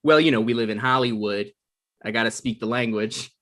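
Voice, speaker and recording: A man's voice: medium pitch, fairly quick, a bit bright, pitched below the performer's own voice, natural voice. An awkward, earnest man in his mid-thirties, played by a man at a comedy table read, bluffing through a date. His voice sits mid-range and he turns defensive and evasive easily.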